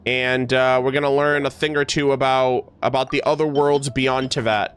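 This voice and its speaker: A man's voice: medium pitch, level tone, normal speed, fairly bright tone, smooth, soft background music. A man streaming video games, chatting casually with viewers in informal, teasing gamer slang. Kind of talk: casual livestream chat with viewers.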